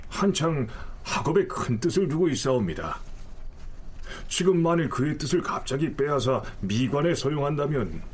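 A man's voice: a little high, slightly rough. An actor playing a male court official who formally petitions the king in a historical reenactment.

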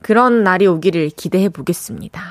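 A woman's voice: on the low side, brisk, no room sound. Young woman radio dj reading listener texts, chatty, dry close studio mic. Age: young adult.

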